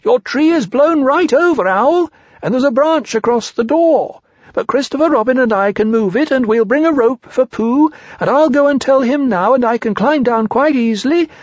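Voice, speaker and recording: A man voicing a very small, timid male piglet in a storybook, nervous, earnest and squeaky. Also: animated, moderate pace, no room sound, muffled recording. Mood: excited, brave.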